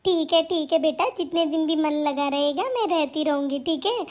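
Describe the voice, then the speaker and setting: A woman acts out an elderly mother-in-law from a family cartoon. The voice is extremely high, almost childlike. She is plaintive and motherly, a long-suffering soul who dotes on her family. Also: moderate pace, close to an everyday voice. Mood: affectionate. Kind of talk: melodramatic family cartoon dialogue.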